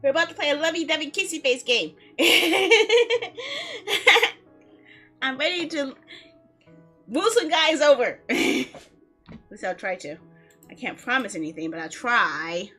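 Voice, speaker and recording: Playful high-voiced woman streaming. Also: lively intonation, slow, long pauses, bright, forceful tone. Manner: playful, giggly, chatty. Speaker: video game livestream host. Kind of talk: casual livestream chat with viewers. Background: music.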